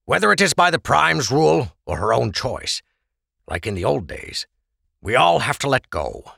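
A gruff, fussing barman grumbles and nags at his old friend as a man voices him. The voice is gravelly and husky, lifted above the narration, and it swings up and down a lot. It sounds bright and dry. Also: mid-range voice, sing-song, normal speed, dry recording, pitched above the performer's own voice, heavily acted. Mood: consoling. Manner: grumbling, nagging.

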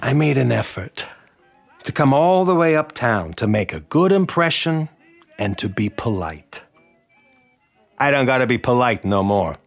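A 1920s mobster boss, in period radio drama style. He is courtly and measured with menace beneath it, and his pitch moves in lively swings. Faint music plays behind him. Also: gravelly. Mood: cold menace. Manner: measured, courtly politeness with a threat underneath. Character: smooth, menacing male mobster boss, 1920s New York.

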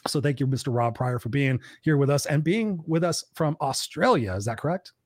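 A man hosting a live podcast, hoarse from a cold, talking in an energetic pep-talk way. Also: mid-range voice, a little fast, no echo. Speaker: podcast host.

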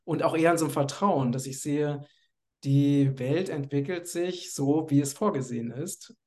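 Earnest mellow-voiced man in his fifties. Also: on the high side, moderate pace. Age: 50s. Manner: earnest, spiritual, searching for words with many fillers. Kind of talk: personal conversation.

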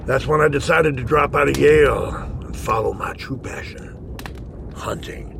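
An actor playing a worldly, obsessive big-game hunter in a western audio drama, telling his tale in a slow, brooding, hushed manner with a gravelly voice. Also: medium pitch, noisy background. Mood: ominous, brooding. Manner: slow, brooding storyteller with hushed, breathy emphasis.

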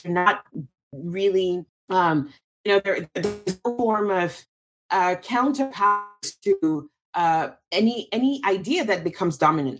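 Woman from cameroon, lowish husky voice, thinks out loud w lots of restarts. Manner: thoughtful, many restarts and fillers. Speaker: guest. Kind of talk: interview answer.

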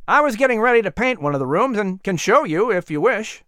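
A man's voice: high voice, sing-song, brisk, sharp tone, dry recording, clean studio sound, pitched above the performer's own voice, heavily acted. A narrator voicing a helpful, cheerful young male workman in a story, eager and matter-of-fact.

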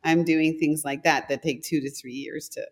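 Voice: medium pitch, steady tone, normal speed. A speaker in their fifties, raised in Canada with German as a first language, talking directly through long stories with many restarts as an interview guest. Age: 50s. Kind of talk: casual interview talk.

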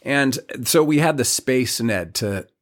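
A man in his forties, a guest on a podcast, talking in a reflective, halting way with many restarts. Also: mid-range voice, animated. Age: 40s. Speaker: podcast guest, community leader. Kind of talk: casual podcast conversation.

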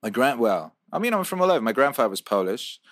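A man's voice: mid-range voice, very animated, fast talker, a bit bright, dry recording, clean studio sound. A man from the UK who grew up in Wales, hosting a livestream and reading out viewer questions in a loose, profane, rambling way. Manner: loose and profane, riffs and repeats himself. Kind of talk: casual livestream chat.

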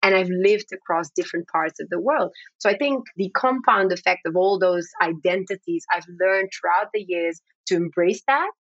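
A woman who grew up in the Netherlands and is a native Dutch speaker, giving thoughtful, measured answers as an interview guest. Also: lowish voice, no echo, highs cut off.

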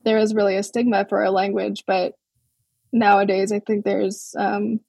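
Woman from Hawaii, young adult, husky and gravelly, flat even tone, dry close sound. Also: mid-range voice, normal speed, dry recording. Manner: relaxed, thoughtful, many ums and restarts. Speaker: guest artist. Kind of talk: casual interview over a call.

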